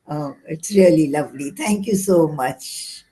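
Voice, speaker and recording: A woman with an Indian accent, an audience member giving polite, deliberate, appreciative feedback. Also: deep voice, dark tone.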